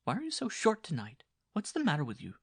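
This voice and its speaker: Man playing a chattering, nagging peasant wife, pitched up. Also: huge pitch swings, fast, slightly rough, no echo, clean studio sound, heavily acted. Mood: prying, chatty. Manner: chattering, insistent, questioning. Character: talkative peasant wife, nagging, prying, evasive. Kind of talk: dramatized audiobook dialogue.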